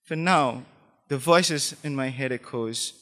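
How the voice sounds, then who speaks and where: A man performing dramatic spoken word poetry with intensity, moving between hushed lines and forceful ones in deliberate phrases. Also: mid-range voice.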